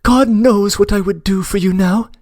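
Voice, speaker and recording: A man's voice: high voice, normal speed, darkish tone, no echo, studio quality, pitched above the performer's own voice, close to an everyday voice. A man reading aloud, voicing a young woman's tearful, loving letter with an imploring manner. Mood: tender, earnest. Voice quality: emotional, imploring. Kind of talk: audiobook reading.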